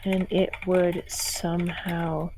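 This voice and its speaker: A chatty woman streamer with a slightly raspy voice. She talks casually in loose, rambling asides, thinking aloud as she writes. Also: fairly low pitch, little pitch movement, slow, background noise. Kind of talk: casual live-stream chat while writing.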